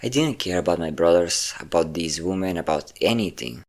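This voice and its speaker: Low male voice, obsessive vampire lover, acted by a man, intimate audio roleplay, theatrical, vain, cruel, lingering delivery. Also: brisk, darkish tone, close to an everyday voice. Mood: bitter, confessional.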